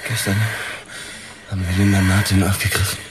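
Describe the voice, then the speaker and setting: An actor playing a matter-of-fact police officer making a report in a radio drama. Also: male voice, deep voice, completely flat delivery, brisk, close to an everyday voice. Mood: businesslike. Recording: clear background noise.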